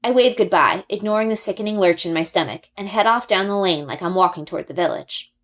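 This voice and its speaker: A woman reading a children's story aloud as an expressive first-person narrator. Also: medium pitch, quick pace. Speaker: reader.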